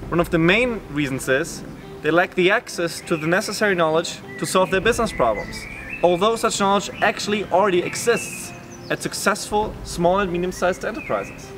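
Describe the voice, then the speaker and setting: A young man reads scripted narration. His pitch is high for a man, and clear background music runs under the voice.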